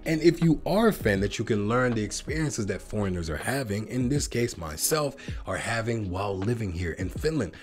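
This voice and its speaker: American male vlogger, lower register, chatty and meandering, faint music bed. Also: lively intonation, brisk, few pauses. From United States. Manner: rambling, talks straight to viewers as guys. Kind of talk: casual reaction vlog to camera.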